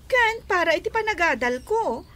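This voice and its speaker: An actress playing a selfish, mouthy young daughter in a radio drama. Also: female voice, very high-pitched, lively intonation, moderate pace, sharp tone, heavily acted. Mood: defiant, whiny. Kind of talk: Ilocano radio drama acting.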